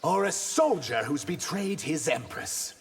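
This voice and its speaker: An intense reptilian warrior from a fighting game, earnest and defiant. The voice is fairly high and gravelly, with dramatic swings in pitch. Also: male voice, lively intonation, a little slow, soft background music, full character voice. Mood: taunting. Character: earnest, vengeful reptilian humanoid warrior loyal to an empress. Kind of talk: video game character dialogue.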